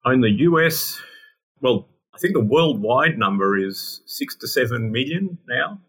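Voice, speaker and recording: A middle-aged man with an Australian accent, answering interview questions in a casual, halting way and often repeating words while he thinks. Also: mid-range voice, lively intonation, a bit bright, breathy edge. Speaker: guest scientist.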